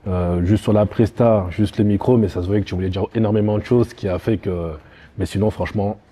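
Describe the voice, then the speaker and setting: A man with a deep, husky and very dark voice giving frank feedback, hesitating over his words. He sounds a little distant from the mic. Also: fairly even intonation, brisk. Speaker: jury member. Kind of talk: casual feedback.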